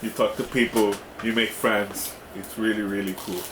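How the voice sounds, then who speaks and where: Male product reviewer, fairly low voice, informal and halting unboxing delivery, recorded with clear background noise. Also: level tone, roomy sound. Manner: halting, often checking in with "right?". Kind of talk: casual hands-on unboxing demonstration.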